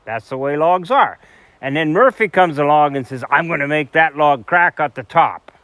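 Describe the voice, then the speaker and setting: A man's voice: a little high, expressive, normal speed, fairly bright tone. An older man from Idaho, explaining log building in a folksy, emphatic way, recorded outdoors by a cabin and indoors in a wooden room. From central Idaho, USA. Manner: folksy, emphatic teacher, gesturing at logs. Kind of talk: explaining outdoors, then interview storytelling.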